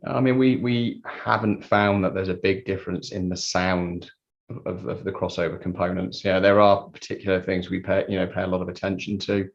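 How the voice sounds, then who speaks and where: A man giving a conversational technical explanation as an interview guest, halting and repeating words as he thinks. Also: deep voice, fairly even intonation, vocal fry. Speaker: interview guest, loudspeaker designer. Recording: dull lo-fi sound.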